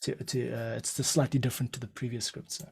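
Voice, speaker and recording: Laid-back podcast host, mid pitch. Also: male voice, little pitch movement, fast, creaky, a little mellow, husky. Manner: laid-back, slangy, says dude and cool. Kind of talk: casual chat.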